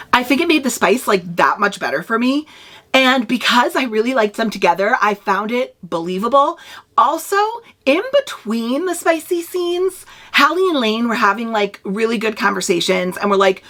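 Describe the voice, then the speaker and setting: A woman in her thirties chatting casually at home about the books she reads, her voice worn and raspy from a long day, full of "like" fillers. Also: medium pitch. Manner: hushed whisper early in the morning. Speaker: book vlogger. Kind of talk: casual read-along vlog chat.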